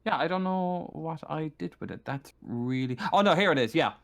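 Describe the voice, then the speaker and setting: A man acting as game master, improvising narration for a tabletop game and often pausing to search for words. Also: on the high side, vocal fry.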